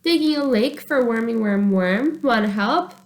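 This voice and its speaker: Storybook little girl, played by a woman: high-pitched, eager, playful, babyish. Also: moderate pace, close to an everyday voice. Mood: playful, cheerful. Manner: excitable, makes up babyish nonsense words.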